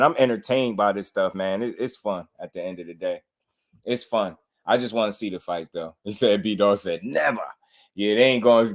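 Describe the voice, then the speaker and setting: A young man hosting a casual boxing reaction show, laid-back, laughing often and repeating himself as he talks. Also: lowish voice. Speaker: boxing channel host.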